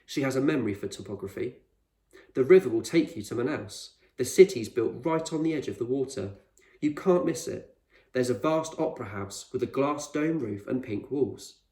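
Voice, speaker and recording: A man voicing an eccentric, proud male explorer in a storybook, speaking with measured authority and reassurance. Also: medium pitch, animated, mellow, lightly acted. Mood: firm, instructive. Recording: roomy echo, distant microphone.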